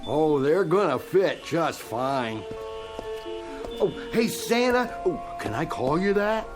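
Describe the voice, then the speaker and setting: Slow, folksy old down-and-out fellow. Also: male voice, a little high, very animated, music in the background. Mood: grateful, cheery. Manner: colloquial, folksy, easygoing drawn-out talk. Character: folksy, good-natured old homeless man. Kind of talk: radio drama acting.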